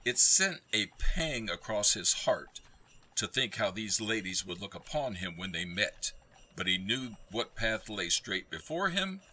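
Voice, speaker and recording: A man narrating a radio play as a scripted story, with measured storytelling delivery. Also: on the low side, sing-song, bright, forceful tone, a little hiss or hum, low-quality recording.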